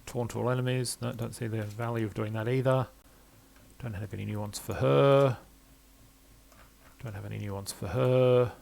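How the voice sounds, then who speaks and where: A man's voice: mid-range voice, steady tone, normal speed, creaky, long pauses, darkish tone. A middle-aged man playing a video game, thinking aloud and reading out menus as commentary. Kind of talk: let's play commentary. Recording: faint game ambience behind voice.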